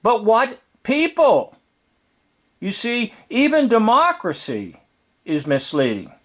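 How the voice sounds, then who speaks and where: A man's voice: high voice, sing-song, takes it slowly, fairly bright tone. A man giving informal, preachy commentary, explaining emphatically and repeating key words. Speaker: video commentator.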